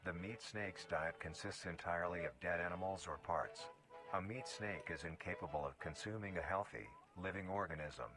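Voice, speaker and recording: Male narrator, very low voice, formal and measured nature-documentary read, prominent music bed underneath. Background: music playing under the voice.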